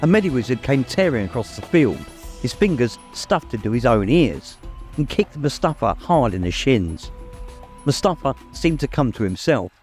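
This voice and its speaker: A man's voice: mid-range voice, sing-song, moderate pace, a little mellow, soft background music. A man narrating a story in a dramatic, scripted audiobook style.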